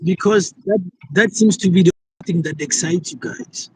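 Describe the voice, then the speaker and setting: South african guy arguing on a phone call from a taxi, kinda high voice, keeps repeating himself. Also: normal speed, a little mellow, breathy edge, dry recording. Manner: argumentative, repeats himself, frequent "like". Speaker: call-in guest. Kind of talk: casual debate on a live call.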